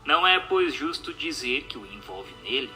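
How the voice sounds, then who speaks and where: A man's voice: medium pitch, big pitch swings, normal speed, pushed and bright, faint music behind. A man doing a dramatized audiobook reading in a formal, declamatory manner. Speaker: narrator. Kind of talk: dramatized audiobook reading of questions, answers and notes.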